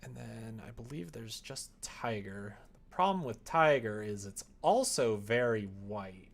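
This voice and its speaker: A man chatting casually on a live stream, talking half to himself as he works, sometimes louder. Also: on the low side, expressive, a little slow, creaky. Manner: mutters to himself while working, with sudden louder bursts. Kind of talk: casual stream chat while working. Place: indoors at a computer desk, keyboard typing behind.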